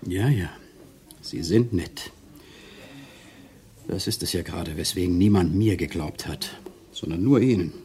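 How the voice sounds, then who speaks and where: An actor playing a smooth-talking, self-pitying conman lover in a German radio crime drama, intimate and theatrical, often dropping to murmurs. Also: sits low, measured pace, long pauses, dark tone. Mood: persuasive, confiding.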